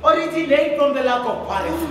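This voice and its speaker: A woman playing an authoritative, concerned school principal in a stage play, with deliberate official speech and a Kenyan accent. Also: sits high, animated, crowd noise. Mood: stern, concerned. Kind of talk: declamatory stage play delivery. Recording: echoey hall, distant mic.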